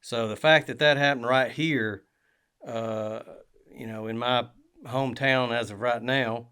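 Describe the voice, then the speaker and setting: A man's voice: mid-range voice, steady tone, a little slow, sharp tone, smooth. A man, co-hosting a podcast in casual chat, rambling with many fillers and restarts. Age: 20s. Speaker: podcast co-host.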